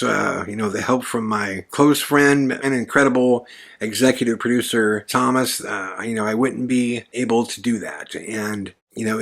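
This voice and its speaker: A man's voice: on the low side, vocal fry, a bit bright. Earnest male podcast host. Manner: earnest, hesitant with many fillers. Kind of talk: casual podcast monologue.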